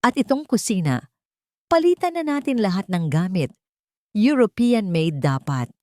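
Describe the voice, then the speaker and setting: A woman's voice: mid-range voice, sing-song, unhurried, dark tone, no room sound, studio quality, voice pushed up. Woman doing a breezy, bossy older rich mother. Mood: cheerful, bossy. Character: demanding, well-off older mother planning renovations.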